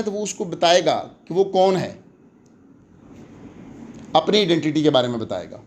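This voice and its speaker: A man with a Pakistani accent lecturing in an explanatory way, deliberate and emphatic, switching between languages as he teaches. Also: medium pitch, darkish tone, slight background noise. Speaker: law lecturer.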